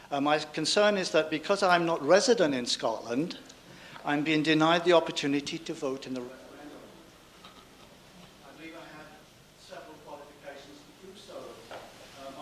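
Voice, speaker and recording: Audience man, higher pitch, earnest and pressing; faint room noise behind. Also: moderate pace. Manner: earnest, grows louder and insistent. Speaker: audience member asking a question. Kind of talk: question from the floor.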